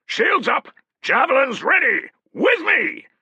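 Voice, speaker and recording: A man's voice: mid-range voice, animated, moderate pace, sharp tone, no room sound, voice pushed up. A man voicing a battle-hungry Roman centurion, bellowing rousing orders to his soldiers in an audiobook. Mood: shouting.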